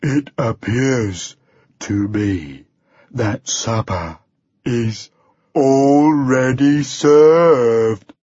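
Huge storybook dragon, deep bass, extremely slow, dim-witted menace, rough-edged, dry muffled recording. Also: male voice, a little mellow, dry recording. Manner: ponderous, very slow, puzzled deliberation.

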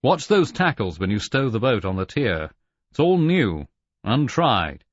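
A man's voice: medium pitch, very animated, measured pace, no room sound, muffled recording. A man playing a stern, cool senior naval officer with a clipped, commanding manner in an audiobook.

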